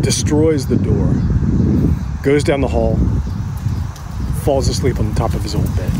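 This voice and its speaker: An actor playing an ordinary man recounting a friend's haunting in a naturalistic documentary interview, halting and conversational, in short phrases with pauses. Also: mid-range voice, very noisy, close to an everyday voice. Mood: intense, absorbed. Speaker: interview subject in an audio drama.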